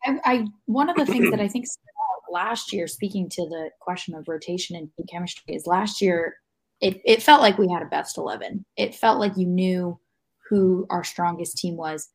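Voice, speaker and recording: A woman's voice: on the low side, normal speed, breathy edge. A woman on a sports podcast panel giving casual, thoughtful analysis in an explanatory way. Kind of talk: casual analytical soccer discussion.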